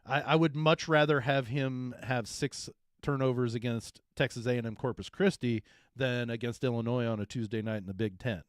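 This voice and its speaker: A deep-voiced man talks sports in a loose, rambling way, restarting sentences and then pressing a point hard. His voice sits at an ordinary pitch and is recorded close and dry, with no room sound around it. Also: steady tone, moderate pace, dry recording. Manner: rambling, restarts often, emphatic. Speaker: co-host, former coach. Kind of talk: casual sports talk.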